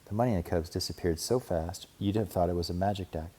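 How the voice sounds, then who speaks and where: A man narrating a children's storybook aloud in an expressive read-aloud style. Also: low-pitched, quick pace, slight background noise. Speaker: storybook reader.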